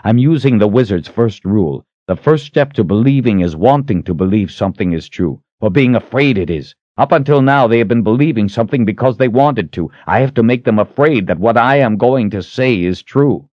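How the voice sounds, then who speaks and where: A man's voice: on the low side, expressive, fairly quick, hardly any pauses, a little mellow, dry recording, highs cut off, voice pushed up, close to an everyday voice. A man voicing a cold, defiant fantasy hero in an audiobook, taunting and intense. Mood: urgent resolve. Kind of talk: dramatic audiobook character acting.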